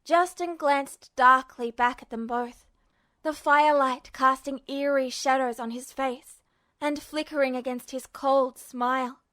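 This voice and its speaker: A woman reading fiction aloud as a narrator, with expressive, dramatic storytelling. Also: high voice, unhurried. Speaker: amateur audiobook narrator.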